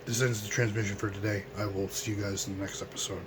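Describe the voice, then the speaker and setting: A young American man in his twenties hosts his own radio show in a hoarse, coughing voice, pitched somewhat low and held fairly flat. He speaks earnestly but haltingly, stopping for ums and restarting sentences. A clear hiss of background noise runs under his voice. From United States. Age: 20s. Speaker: host of a home radio show. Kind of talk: solemn remembrance monologue.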